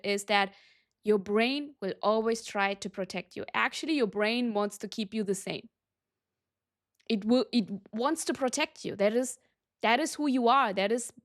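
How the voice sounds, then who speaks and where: Slow, earnest woman coach. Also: a little high. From Germany. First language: Tamil. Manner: earnest, emphatic, repeats for stress. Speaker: business coach. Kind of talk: motivational talk to camera.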